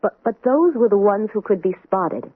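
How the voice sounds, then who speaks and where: An actress playing an earnest, idealistic doctor in a 1970s radio drama. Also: female voice, medium pitch, animated, moderate pace, husky, no room sound. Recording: tinny dry vintage radio recording.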